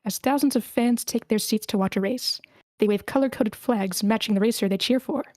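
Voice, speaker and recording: A woman's voice: a little high, a little fast, darkish tone, no room sound, studio quality. A woman hosting a screenplay table read, switching between informal, encouraging chat and narration of stage directions. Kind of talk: casual hosting and script narration.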